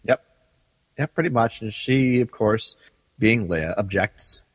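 A man's voice: on the low side, slow, husky, no echo, long reverb tail. A man co-hosting a podcast in casual chat, with wry understatement. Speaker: podcast co-host.

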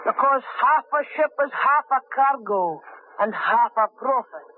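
An actor playing a coaxing, scheming sailor in a 1950s radio drama, heard through a tinny old broadcast. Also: male voice, very high voice, lively intonation, a little slow, a little hiss or hum. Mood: sly. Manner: coaxing, sly, keeps calling his partner "John boy". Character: scheming wheedling sailor plotting murder, a grown man.

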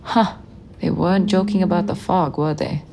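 A woman's voice: lowish voice, fairly even intonation, normal speed, gravelly, dark tone, a little hiss or hum. An actress playing an uneasy but pleasant woman colleague in an audio drama.

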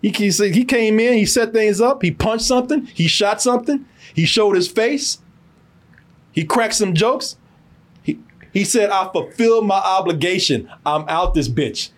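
A man's voice: high-pitched, lively intonation, normal speed. A man hosting a casual, profane film review podcast, telling it emphatically with lots of repetition and free cursing.

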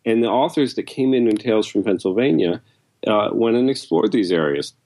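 A man, an editor, speaking in a halting way with many "uh"s and restarts. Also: fairly low pitch, darkish tone. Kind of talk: podcast conversation.

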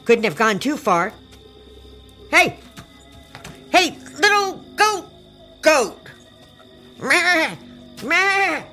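A woman's voice: high voice, big pitch swings, drawn-out words, pauses often, pushed and bright, soft background music, exaggerated voice. A woman playing a menacing, unhinged aunt in an audio drama, coaxing with false sweetness that turns threatening. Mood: wheedling, sinister. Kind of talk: audio fiction acting for children.

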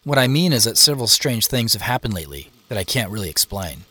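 A man's voice: on the low side, big pitch swings, quick pace, sharp tone, slightly rough, no room sound, full character voice. An actor playing a reflective, curious American man in his forties, narrating a family mystery in scripted first person. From Seattle, United States. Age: 40s.

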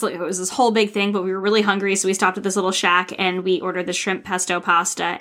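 A woman's voice: mid-range voice, a bit bright. A young woman co-hosting a podcast, telling a food story with lots of enthusiasm in casual chat. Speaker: podcast co-host.

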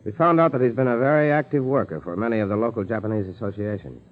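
An actor playing a businesslike, watchful American FBI agent in a 1940s radio drama. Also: male voice, on the low side, quick pace, natural voice. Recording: thin, phone-like 1940s radio recording.

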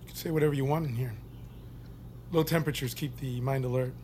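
Audio drama role: actor as calm military psychiatrist, man, accommodating, gently probing, faint ambience behind him. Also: medium pitch, slight background noise, lightly acted. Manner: measured, polite, probing. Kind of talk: naturalistic audio fiction acting.

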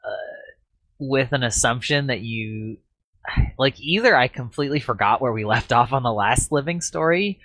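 A man with a slightly raspy voice chats casually as a podcast host. He rambles and laughs at himself. The sound is dry and close to the mic. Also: mid-range voice, normal speed, a bit bright, dry recording.

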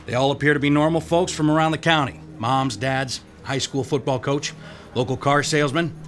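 An actor playing a level-headed county detective, dry and matter-of-fact. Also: male voice, medium pitch, fairly even intonation, moderate pace, a bit bright, faint music behind, lightly acted.

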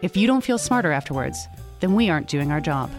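A woman's voice: low voice, lively intonation, fast. Chatty American woman host onstage, upbeat, conversational, faint music underneath. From United States. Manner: upbeat and chatty, with frequent ums. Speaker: interview host and magazine editor. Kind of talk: live onstage interview. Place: onstage before an audience.

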